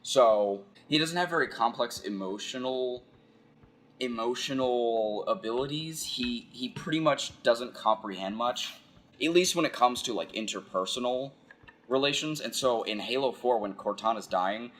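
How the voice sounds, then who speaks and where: A man giving casual, rambling philosophical commentary, halting and repeating phrases as he thinks aloud. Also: medium pitch, a bit bright. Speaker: video essayist.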